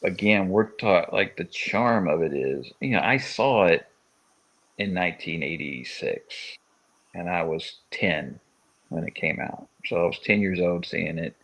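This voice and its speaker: A man's voice: deep voice, unhurried, a bit bright, low-quality recording. A man in his forties from Kentucky, co-hosting a casual live-stream chat and rambling with many restarts. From Louisville, Kentucky, USA. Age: 40s. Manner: rambling, stop-start phrasing with many restarts. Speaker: podcast co-host.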